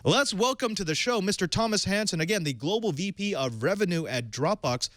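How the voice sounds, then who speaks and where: A man hosting a tech podcast, reading a sponsor spot and then welcoming and questioning a guest in a lively way. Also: sits high, brisk, dry recording, clean studio sound. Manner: animated, leading questions with fillers. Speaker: podcast host. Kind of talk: tech show hosting and ad read.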